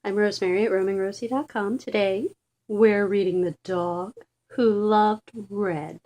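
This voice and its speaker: A woman reading a children's storybook aloud in an expressive, deliberate and emphatic way for young listeners. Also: medium pitch. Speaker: children's book reader.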